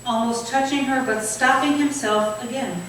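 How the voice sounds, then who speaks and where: A woman's voice: high voice, fairly even intonation, normal speed. A woman reading scripted stage directions aloud as narrator at a staged play reading. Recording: echoey hall from far off, faint noise.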